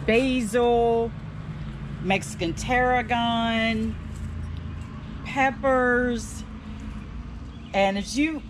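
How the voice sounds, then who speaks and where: An older woman with an American accent chatting casually to camera, playful and self-deprecating. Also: a little high. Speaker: vlogger showing her home garden. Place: outdoors in a fenced backyard. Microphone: handheld camera at arm's length. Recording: clearly noisy outdoor ambience.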